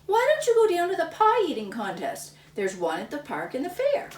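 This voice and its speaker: A woman voicing a helpful little brother who speaks softly and eagerly. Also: high voice, huge pitch swings, brisk, voice pushed up. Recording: roomy, slightly distant mic.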